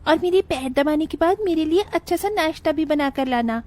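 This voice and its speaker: A woman voicing a haughty, selfish young daughter-in-law in an animated moral tale, dismissive in manner. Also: sits high, fairly quick, close to an everyday voice. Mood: haughty, annoyed.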